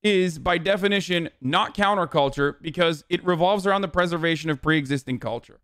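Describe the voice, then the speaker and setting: A man's voice: on the high side, a little fast, a bit bright, smooth, dry recording, clean studio sound. A man doing sarcastic, incredulous live stream commentary, repeating himself for emphasis and swearing freely. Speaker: streamer reacting to a recorded call.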